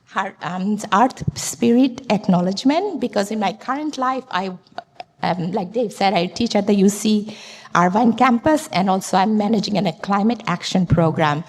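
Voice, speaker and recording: A woman from Bangladesh speaking on a conference panel about climate, her pitch mid-range. She talks earnestly and sometimes restarts her words. A long reverb rings through the hall. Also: moderate pace.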